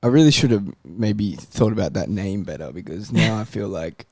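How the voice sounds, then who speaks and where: A man's voice: on the low side, lively intonation, normal speed, darkish tone, no echo, clean studio sound. A man bantering casually as a panellist on a podcast.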